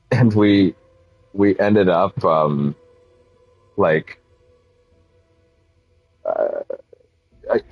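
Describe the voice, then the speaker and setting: A man's voice: deep voice, husky, no room sound, poor audio. A man streaming a game, chatting casually in a rambling way with lots of fillers, a little sniffly from a cold. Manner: rambling, filler-heavy, self-correcting. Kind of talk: casual livestream chat while gaming.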